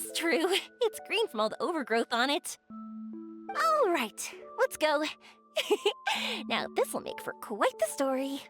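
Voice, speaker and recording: High, lively, bubbly young game heroine. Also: female voice, measured pace, faint music behind, full character voice. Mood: warm interest. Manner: expressive, bubbly, earnest. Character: cheerful, curious, kind-hearted young woman, fireworks maker. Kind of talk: anime-style video game voice acting.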